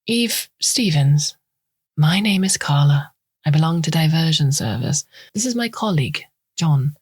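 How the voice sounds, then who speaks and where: A woman voicing an official female caseworker who speaks in a measured, guarded way. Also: low voice, expressive, husky, dry recording, clean studio sound, exaggerated voice.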